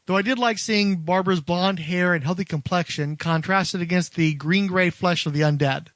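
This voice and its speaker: A man with a notably high voice narrates a scripted film retrospective as a podcast host. His phrasing is wordy and literate, and the recording is close and dry. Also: fairly bright tone, no echo.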